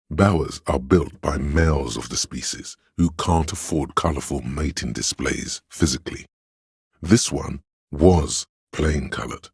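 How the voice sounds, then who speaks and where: Gravelly, abyssal-deep pedantic know-it-all. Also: male voice, no echo, studio quality, heavily acted. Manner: erudite, measured, dryly wry. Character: pedantic, know-it-all encyclopedic inner voice, male. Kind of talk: scripted game narration, explanatory.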